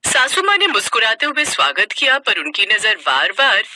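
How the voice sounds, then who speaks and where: Very bright, animated woman narrator. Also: on the high side, normal speed, a little hiss or hum. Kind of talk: scripted narration of a moral story.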